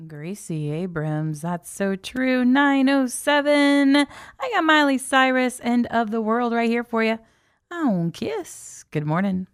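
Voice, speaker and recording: A woman presents live morning radio from a studio with a smooth, crisp voice that sits fairly high. Her delivery is chatty and giggly, moving casually between station patter and small talk. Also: expressive, slow. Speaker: radio host, music station DJ.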